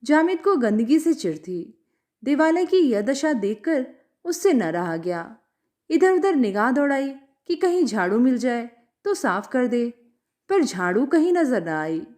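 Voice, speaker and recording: A woman reading a story aloud as a scripted, expressive narration. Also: sits high, measured pace. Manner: expressive, acts out dialogue.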